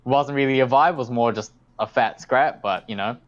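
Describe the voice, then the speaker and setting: A man's voice: medium pitch, moderate pace, dull audio. A man, telling stories in a casual podcast chat, swearing freely and rambling with lots of fillers. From South Auckland, New Zealand. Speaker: podcast co-host.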